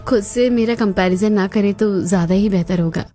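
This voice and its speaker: A woman's voice: medium pitch, fairly quick, warm tone, soft background music, natural voice. A woman voicing a proud, outspoken young daughter-in-law in a cartoon family drama, speaking pointedly. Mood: defiant. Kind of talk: Hindi cartoon moral-story voice acting.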